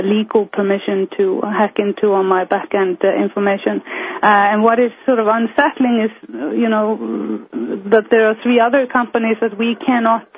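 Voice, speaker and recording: A woman from Iceland, a guest in a phone-in interview, speaking haltingly and searching for her words. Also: medium pitch, husky. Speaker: guest, member of parliament. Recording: tinny phone line.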